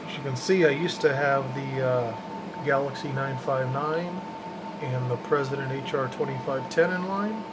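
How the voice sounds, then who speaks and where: A man giving a casual, unscripted walkthrough of his hobby setup in a plainspoken, slangy way. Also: mid-range voice. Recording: loud hum of fans and room noise.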